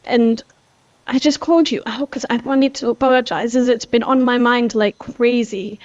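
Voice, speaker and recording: A young woman playing an apologetic teenage girl in an amateur voiced game series, talking in a halting, earnest ramble. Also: on the high side, fairly even intonation, no room sound, natural voice. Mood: guilty, pleading. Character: guilty, apologetic teenage girl, former best friend. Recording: dull lo-fi voice track.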